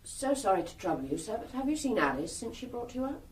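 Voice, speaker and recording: A woman's voice: a little high, big pitch swings, quick pace. A woman playing an apologetic Victorian household servant in a radio drama, heard away from the microphone. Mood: apologetic, fussing. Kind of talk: period radio drama acting. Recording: voice distant from microphone.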